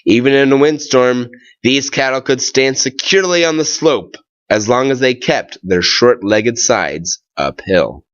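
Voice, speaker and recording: Expressive, slow-paced man reading a children's story. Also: mid-range voice, muffled recording. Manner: expressive, deliberate phrasing with drawn-out words and pauses. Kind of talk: read-aloud of a children's tall tale.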